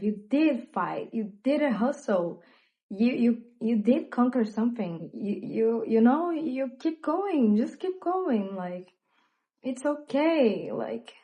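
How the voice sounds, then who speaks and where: A young woman with a Brazilian accent, native in Portuguese, talking openly and reflectively in a personal vlog, with frequent fillers and pauses. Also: medium pitch, dull audio. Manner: reflective, hesitant with many fillers. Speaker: vlogger. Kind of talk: candid personal monologue.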